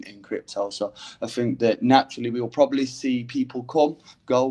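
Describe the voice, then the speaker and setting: A man with a Manchester accent and a slight Irish lilt, talking in a casual discussion, pausing often with ums and restarts. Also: lowish voice, a little mellow. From England. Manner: hesitant, many ums and restarts.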